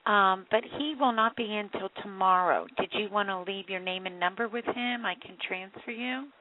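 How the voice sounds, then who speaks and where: A woman's voice: mid-range voice, normal speed. A woman answering a phone line, giving a caller procedural, noncommittal directions. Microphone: telephone. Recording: tinny phone line with faint noise.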